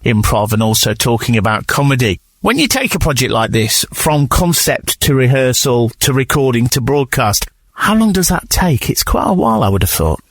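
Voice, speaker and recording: A man's voice: medium pitch, very animated, moderate pace, no room sound. A man hosting a conversational radio interview in an affirming, reflective manner.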